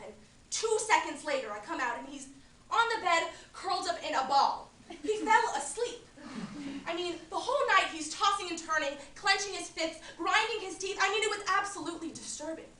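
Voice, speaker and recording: A woman's voice: high voice, sharp tone, slight background noise, pitched above the performer's own voice. Woman playing a wry young woman. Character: wry young woman recounting an odd affair. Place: room at a performance. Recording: roomy, from a distant mic.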